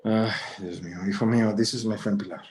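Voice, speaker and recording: An actor playing an elderly, stubborn but tender Mexican-American rancher father in a table read, halting and slipping into Spanish. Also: on the low side, steady tone, a crowd in the background, close to an everyday voice. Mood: sheepish, regretful. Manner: halting, repeating words, dropping in Spanish. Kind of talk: screenplay table read acting.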